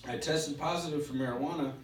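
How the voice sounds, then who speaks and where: A middle-aged man with a stutter gives a personal testimony at a recovery meeting, speaking in halting, spaced-out phrases with pauses. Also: fairly high pitch. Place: indoor meeting room. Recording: roomy, distant mic with background hiss.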